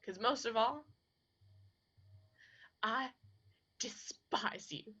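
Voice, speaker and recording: A woman's voice: a little high, drawn-out words, bright, forceful tone, breathy edge, dull audio, full character voice. An actress in a radio drama playing a wounded, bitter young woman with an Appalachian accent, speaking with anguished, folksy emphasis. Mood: angry despising. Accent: Appalachian American.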